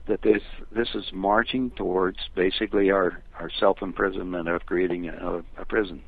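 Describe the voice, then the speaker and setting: Gravelly older American man on the phone. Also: sits low, steady tone, moderate pace, no room sound. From United States. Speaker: phone interview guest. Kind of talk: conversational opinion talk. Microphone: telephone. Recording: thin, phone-like telephone line.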